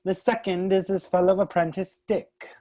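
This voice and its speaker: A narrator reading stage directions for a radio play in an unhurried, even storytelling manner. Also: medium pitch.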